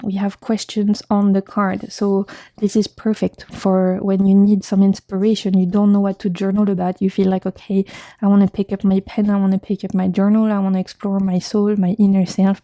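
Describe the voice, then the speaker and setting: A woman from France with a French accent hosts a casual video chat. Her voice is very dark and relaxed, at a medium pitch, in a dry room. She talks with gentle enthusiasm, often in short emphatic phrases. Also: dry recording. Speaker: video host reviewing card decks.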